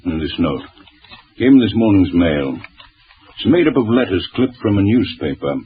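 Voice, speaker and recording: An actor playing a brisk senior police chief inspector, gruff and husky, with dry understated authority, in 1940s radio drama style. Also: male voice, on the low side, very animated, a little hiss or hum. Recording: thin, phone-like old radio recording.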